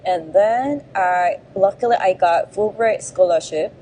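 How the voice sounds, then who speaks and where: Soft-voiced Korean-accented woman. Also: medium pitch, unhurried, a little hiss or hum. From South Korea. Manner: thoughtful, hesitant, many fillers. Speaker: graduating design student. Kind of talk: interview answers.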